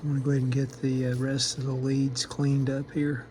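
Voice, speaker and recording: A man narrating a vintage radio repair as a relaxed how-to voice-over, matter-of-fact and unhurried, with frequent "uh" pauses. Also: mid-range voice, a little hiss or hum. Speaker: radio restoration hobbyist.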